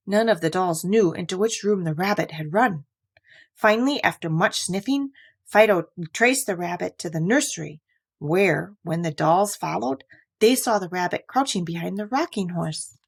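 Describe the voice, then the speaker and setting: A woman narrating a children's story from a book in an expressive, deliberate read-aloud manner. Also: on the low side, vocal fry, slightly rough. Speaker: storybook reader.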